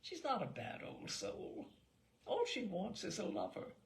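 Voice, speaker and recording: A woman's voice: a little high, huge pitch swings, a little slow, creaky, full character voice. A woman voicing a sardonic, grave ship's doctor of sixty, dry and pompous, in a storybook reading. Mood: dryly amused.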